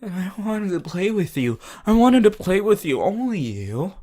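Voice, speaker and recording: A woman's voice: medium pitch, sing-song, normal speed. A woman playing an obsessive, possessive yandere lover in an ASMR roleplay, clingy and unhinged. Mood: needy, craving. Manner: clingy, unhinged, swinging from sing-song pleading to hushed threats. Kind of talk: ASMR boyfriend roleplay audio.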